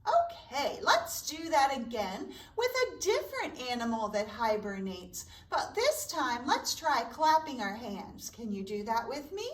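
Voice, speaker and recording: A woman's voice: sits high, big pitch swings, unhurried, few pauses. A woman hosting a preschool story time, speaking in simple, encouraging phrases to young children as a children's librarian. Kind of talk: sing-song preschool storytime with songs and read-aloud. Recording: roomy echo, distant microphone.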